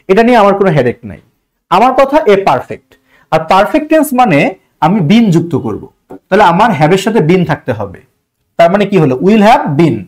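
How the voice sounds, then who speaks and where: A man with a Bangladeshi accent teaching an exam-prep lesson in a colloquial way, asking rhetorical questions and repeating key phrases. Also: high voice. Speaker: online English grammar teacher.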